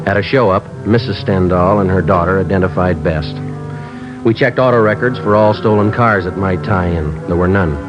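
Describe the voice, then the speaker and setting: An actor playing a terse, businesslike police sergeant, narrating a case in clipped, matter-of-fact 1950s radio drama style. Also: male voice, deep voice, normal speed, over music, close to an everyday voice. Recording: muffled old radio broadcast recording.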